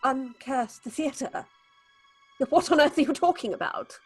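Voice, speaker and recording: High-voiced, imperious theatre director. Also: female voice, animated, a little slow, soft background music. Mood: suspicious, cutting. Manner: imperious, melodramatic, talks aloud to herself. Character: stern, anxious woman theatre director, domineering and haunted. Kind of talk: theatrical audio horror drama acting.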